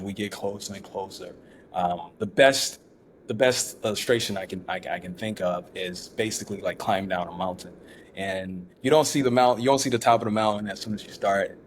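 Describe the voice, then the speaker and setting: A man from Ghana, a startup founder, talking as a guest in a casual interview, halting and restarting often with many fillers. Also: fairly low pitch, slight background noise.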